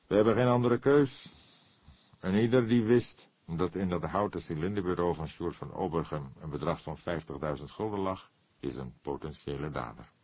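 Gruff older police detective, voiced by a man. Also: deep voice, moderate pace, a little mellow, no echo. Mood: grumbling, weary. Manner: gruff, grumbling, stern. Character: grumpy, weary, authoritative older male police detective. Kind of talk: audiobook character dialogue.

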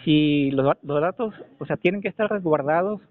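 A man with a Mexican accent argues his case over a narrow telephone line. His voice sits a little high. He presses his point with pointed questions, halting and then repeating himself for emphasis. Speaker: caller. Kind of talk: phone complaint, argumentative questioning. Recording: narrow, tinny telephone call recording.

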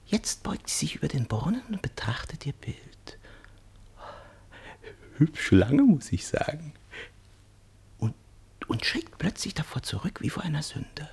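Sad fat cheated-on husband in a radio play, meek n whiny, jealous, pitch wanders up and down a lot. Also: male voice, very animated, unhurried, gravelly, pauses often, darkish tone, in a whisper, full character voice. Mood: furtive, wistful. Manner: plaintive, meek, wistful. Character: fat, jealous, downtrodden cuckolded husband, a comic actor. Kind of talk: radio drama acting.

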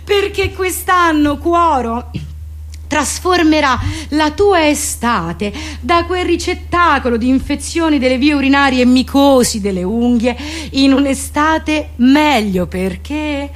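A woman with a Roman accent performing a theatrical comic monologue, with deadpan, mock-solemn irony and colloquial turns. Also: sits high, slow pace. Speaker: comedian, actress performing her own monologue.

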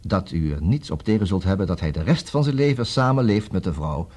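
An actor with a Netherlands Dutch accent playing a busy, wry, smooth-talking divorce lawyer in a formal, fussy manner for a 1960s radio comedy. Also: male voice, deep voice, lively intonation, a little fast, a little mellow. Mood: persuasive. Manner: formal, fussy, hesitant interjections and repeated words.

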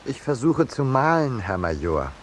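An actor playing a mild, peace-loving painter in a 1950s radio play, polite, hesitant and dryly ironic. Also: male voice, mid-range voice, very animated, moderate pace, lightly acted. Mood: politely ironic. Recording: noisy old 1950s radio recording.

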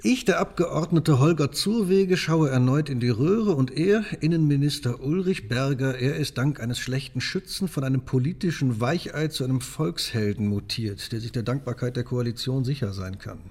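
A man's voice: medium pitch, moderate pace, hardly any pauses, a little mellow, close to an everyday voice. A man acting in a German radio drama as a shrewd, bitter member of parliament with a dry, knowing manner. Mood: bitter, mocking.

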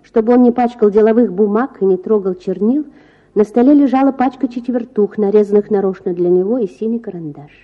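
A woman reads a children's story as an audiobook narrator. Her voice sits at an average pitch and her delivery is expressive and scripted. The recording is a little dull. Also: fairly quick.

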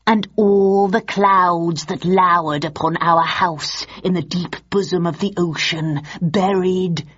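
A villainous king of the stage, performed by a woman narrator, rolls out his verse slowly and grandly. The voice is lowish and theatrical, full of cruel intent, on a slightly dull recording. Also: fairly even intonation, fairly bright tone, breathy edge, full character voice. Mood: grand declaiming. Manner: slow, drawn-out, declamatory delivery. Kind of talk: hammy Shakespearean stage declamation.

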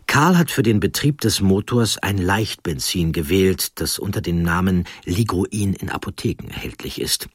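A man with a husky voice narrating a scripted documentary story in a measured, deliberate way. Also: deep voice, expressive, no echo, studio quality.

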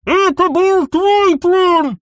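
A male narrator voicing a hateful, betrayed woman shouting from inside a space helmet, her voice muffled and strained. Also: very high-pitched, slow pace, no echo, studio quality, pitched above the performer's own voice. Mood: furious. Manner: shouting accusations. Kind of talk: audiobook character voice.

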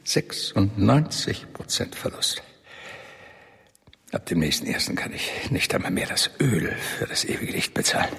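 An actor playing a ruined, guilt-ridden bishop, speaking in a hushed, fearful confession in a radio play. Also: male voice, fairly low pitch, sing-song, a little slow, gravelly, husky, big-room sound, heavily acted. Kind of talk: radio drama monologue.

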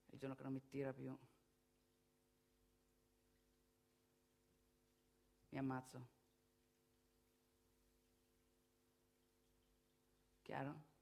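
A man's voice: a little high, natural voice. An actress voicing a crude, defiant Italian man in an emphatic theatrical monologue. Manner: emphatic, repetitive, bursts into shouts. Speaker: actor in a solo stage monologue.

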